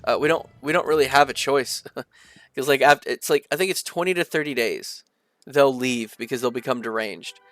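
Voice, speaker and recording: A young man with a stuffy nose chatting casually through a gameplay session, reading the game's text aloud and thinking out loud with lots of fillers. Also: medium pitch, moderate pace, no room sound, faint music behind. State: stuffy from allergies. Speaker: gaming video creator. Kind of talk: casual let's-play commentary, reading game text aloud.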